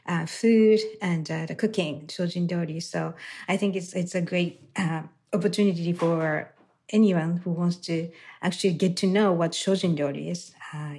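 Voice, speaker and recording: A woman's voice: fairly low pitch, darkish tone. A woman hosting an interview, chatting casually and asking questions. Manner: chatty, with fillers and restarts. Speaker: interview host. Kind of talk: conversational interview.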